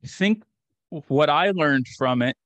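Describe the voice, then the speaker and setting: A man's voice: a little high, animated, slow pace, a bit bright, no room sound. A man in his thirties from rural Kansas, a guest telling his story on a video call in an earnest, reflective way. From rural Kansas, USA. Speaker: interview guest. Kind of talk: casual interview storytelling. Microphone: video call with desk mic.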